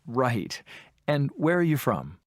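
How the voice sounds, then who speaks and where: An adult voice playing an interviewer in a scripted textbook dialogue, asking friendly questions with measured delivery. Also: mid-range voice, very animated, no room sound, clean studio sound. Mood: plain.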